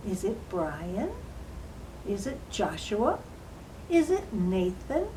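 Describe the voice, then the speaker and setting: A woman playing a young fairy-tale queen and mother, telling her own story in an earnest, dramatic, emotional way with drawn-out emphasis. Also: medium pitch, warm tone, close to an everyday voice, low-quality recording. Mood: earnest, uneasy. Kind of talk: dramatized first-person storytelling in character. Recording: faint background noise.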